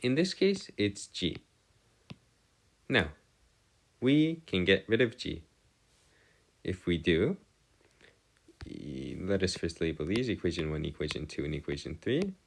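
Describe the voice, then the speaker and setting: A man giving a step-by-step math lesson as a tutor, halting and pausing to check himself, with formal, bookish wording. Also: sits low, sing-song, creaky. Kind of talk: lesson, working through equations step by step.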